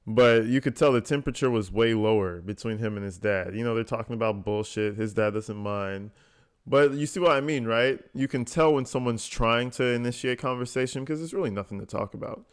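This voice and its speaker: A man's voice: mid-range voice, brisk, clean tone. A man giving casual, blunt reaction commentary, slangy and full of swearing. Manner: blunt, informal, conversational. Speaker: commentator host reacting to a jail call recording.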